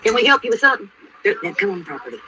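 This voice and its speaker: Woman, husky sharp voice, rapid-fire, lively swooping pitch, insistent, casual. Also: poor audio. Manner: insistent, repeats short phrases. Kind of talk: casual chat.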